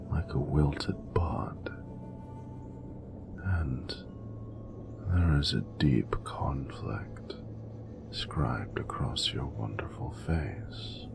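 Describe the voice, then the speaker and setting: A man playing an ancient, tender male dragon in a romantic audio roleplay, speaking formally and poetically in an intimate, breathy rumble. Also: heavily acted. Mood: concerned, gentle. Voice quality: very deep, gravelly, breathy rumble. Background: music.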